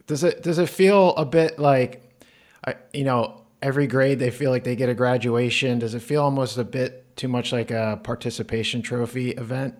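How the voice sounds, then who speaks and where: A man's voice: mid-range voice, steady tone, moderate pace, darkish tone. A man hosting a podcast, opening the show and chatting with his guest in an upbeat, conversational way. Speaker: podcast host.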